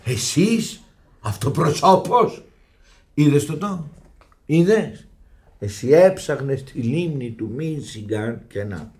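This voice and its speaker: Flustered dad in a radio farce, high voice, swoops all over, excitable. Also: male voice, pauses often. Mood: sly, showy. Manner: theatrical, excitable, exclaiming. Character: exasperated husband and father, fussy, easily flustered. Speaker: actor in a radio comedy. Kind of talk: Greek radio theatre farce acting.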